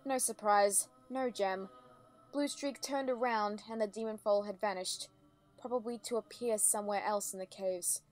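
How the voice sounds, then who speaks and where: A woman narrator reads a scripted story in a mid-pitched voice. Faint music plays behind her as she tells it. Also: fairly even intonation, normal speed.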